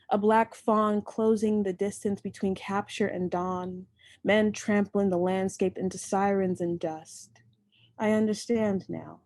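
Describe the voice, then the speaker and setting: A young woman reading her own poems aloud in a slow, measured way, with loose, chatty asides between them, speaking into a computer mic from home. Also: medium pitch, darkish tone, no echo. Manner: slow, measured, deliberate recitation. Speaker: poet and host of the reading. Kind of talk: poetry reading.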